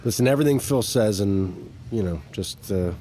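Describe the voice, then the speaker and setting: A man's voice: fairly low pitch, normal speed, mellow, slight background noise, natural voice. An actor playing a hesitant, affectionate father in an audio drama. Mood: fond, hesitant.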